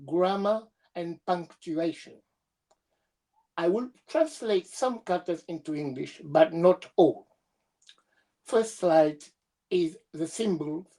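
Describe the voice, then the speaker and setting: A young woman with a cold, presenting her doctoral research over a video call in a steady, measured reading voice with small hesitations. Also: lowish voice. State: has a cold. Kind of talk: reading a prepared academic presentation.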